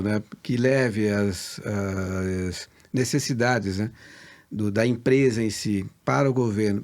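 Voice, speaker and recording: A man's voice: mid-range voice, a little mellow. A Brazilian man co-hosting a business interview, agreeable in tone and hesitant, with many fillers. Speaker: co-host.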